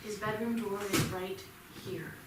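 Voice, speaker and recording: A woman's voice: mid-range voice, fairly even intonation, measured pace, close to an everyday voice. An actress playing a protective, indignant mother in a staged play reading, flustered and defensive. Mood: exasperated, protesting. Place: theatre stage, indoors. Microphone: distant room microphone. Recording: very echoey theatre, distant mic, noisy.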